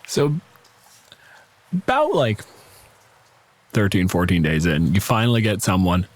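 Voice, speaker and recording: A man's voice: deep voice, wildly swooping pitch, a little slow, no echo. A man acting as game master, narrating an improvised tabletop game in a casual style.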